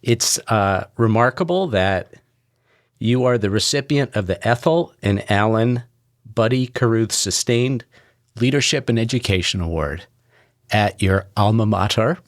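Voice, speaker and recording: Measured, formal man in a dry recording. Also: fairly low pitch, dry recording. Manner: measured, formal, pausing between short phrases. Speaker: podcast guest, agency leader. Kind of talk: formal congratulatory remarks.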